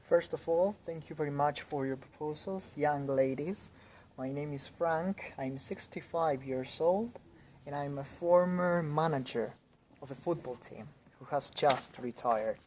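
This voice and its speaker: A woman's voice: low voice, measured pace, a little mellow, slight background noise, voice pushed down. A woman playing a courteous retired older man, a former football manager, in a classroom role-play, speaking in a polite, formal way.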